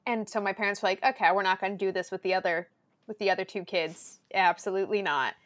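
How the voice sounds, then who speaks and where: A woman from Southern California, a podcast guest chatting casually and acting out quotes in a loud, lively way. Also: mid-range voice, fast talker. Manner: loud, animated storyteller who acts out quotes.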